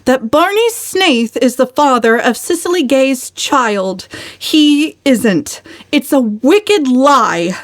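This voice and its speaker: A woman's voice: high voice, animated, takes it slowly, dry recording, clean studio sound, pitched above the performer's own voice. A woman voicing a defiant, outspoken young spinster in a dramatic storybook reading, speaking with emphatic indignation. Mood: passionate, indignant.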